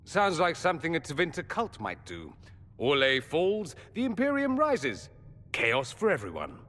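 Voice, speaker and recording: A sardonic, bright-voiced mage. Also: male voice, fairly high pitch, huge pitch swings, normal speed. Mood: sardonic, amused. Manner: witty, dry, theatrical sarcasm. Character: urbane, sardonic male mage from a foreign empire. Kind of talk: video game dialogue acting.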